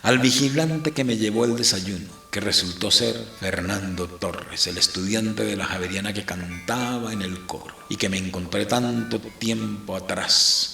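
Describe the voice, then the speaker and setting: A man playing a condemned priest in an audio drama, narrating his confession in a deliberate, brooding way. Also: lowish voice, creaky, bright, forceful tone, exaggerated voice. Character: condemned male priest turned corporal, confessing his imprisonment. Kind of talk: scripted first-person dramatic narration. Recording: roomy, echoing narration.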